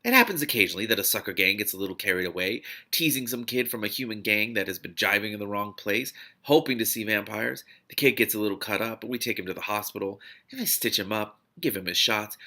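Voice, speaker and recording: Blunt male field agent for an audiobook, read by a man: bright forceful edge, creaky, slangy and emphatic recruiter. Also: fairly low pitch, lively intonation, fast, hardly any pauses, heavily acted. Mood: incredulous, emphatic.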